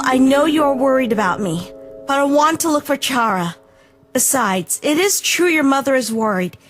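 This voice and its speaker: A fair-minded young princess acted by a woman for a comic fan dub. Her high voice is earnest and firm as she reproves and reasons. Background music is clearly heard. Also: fairly bright tone.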